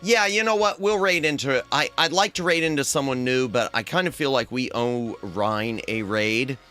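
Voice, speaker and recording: A man's voice: mid-range voice, moderate pace, bright, forceful tone, clean tone, faint music behind. Tired, playful male streamer. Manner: playful, rambling, hums tunes to himself. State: tired, strained throat. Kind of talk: casual livestream chat.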